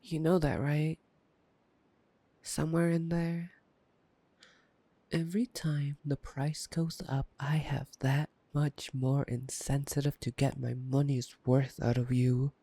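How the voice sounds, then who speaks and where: A taunting male vampire, acted by a man, speaks in a slow, gravelly drawl with little rise or fall. He is playful and predatory, teasing the listener with soft menace in a close, dry ASMR roleplay. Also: fairly high pitch, flat delivery, no echo. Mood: taunting, menacing. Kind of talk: intimate ASMR roleplay monologue.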